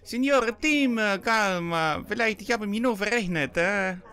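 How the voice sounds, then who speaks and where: A man's voice: sits high, unhurried, noisy background. A man playing a flustered, servile waiter with an Italian accent in a comedy audio play. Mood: flustered, pleading.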